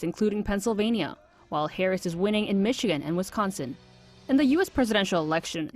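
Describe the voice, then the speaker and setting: A woman news reporter narrating a scripted report. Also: mid-range voice.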